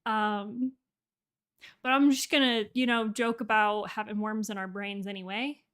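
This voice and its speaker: A woman hosting a casual livestream reaction chat, enthusiastic and gushing in a halting way. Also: mid-range voice, little pitch movement, a bit bright, smooth. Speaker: livestream host and voice actor. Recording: faint music behind.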